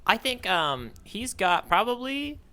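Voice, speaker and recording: A man speaks in a slightly high, bright voice with a very clean, smooth tone. He chats casually as a podcast co-host, thinking aloud and slipping in wry jokes. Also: very animated, unhurried. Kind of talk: casual chat discussing a manga.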